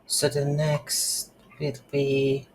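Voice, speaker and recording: A woman hosting a casual game development tutorial, speaking haltingly as she thinks aloud while working. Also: sits low, completely flat delivery, slight background noise. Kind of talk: casual screen-recorded software tutorial.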